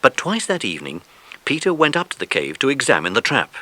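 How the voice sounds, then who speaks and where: A man narrating a children's story in an expressive, dramatic way, as in a scripted audiobook. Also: mid-range voice, fairly quick.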